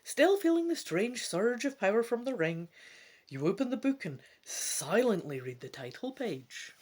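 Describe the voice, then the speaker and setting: A Scottish woman hosting a gamebook play-through, mixing expressive, theatrical storybook reading with casual commentary. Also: fairly high pitch, a little slow, slightly rough. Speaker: gamebook let's-play host.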